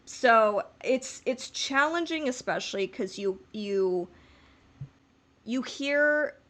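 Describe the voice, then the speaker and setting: A woman's voice: on the high side, animated, slow pace, a bit bright. A woman co-hosting a podcast in a casual fan discussion, talking in a playful, rambling, informal way. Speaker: podcast co-host.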